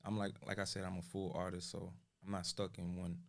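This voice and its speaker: A man's voice: low-pitched, monotone, a little fast, gravelly, no room sound. A man from Florida, a musician guest, chatting casually and laid-back, often saying "you know what I'm saying". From Pompano Beach, Florida. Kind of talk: casual chat.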